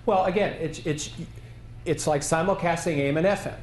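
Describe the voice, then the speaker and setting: Male conference presenter, Q&A, measured and thinking aloud, slightly high voice, roomy hall sound. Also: a little mellow, slight background noise. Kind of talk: conference Q&A answer.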